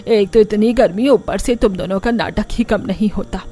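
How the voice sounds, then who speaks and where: A woman's voice: mid-range voice, normal speed, warm tone, lightly acted. A woman voicing a fed-up, bossy mother-in-law who scolds her household in a cartoon story. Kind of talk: Hindi cartoon story dialogue. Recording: music under the voice.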